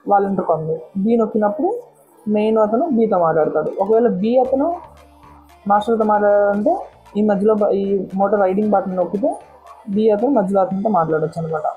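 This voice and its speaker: A woman's voice: mid-range voice, fast talker, phone-quality sound, soft background music. A woman vlogger giving a casual, step-by-step product walkthrough, often repeating words as she explains. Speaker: motorcycle vlogger and product reviewer.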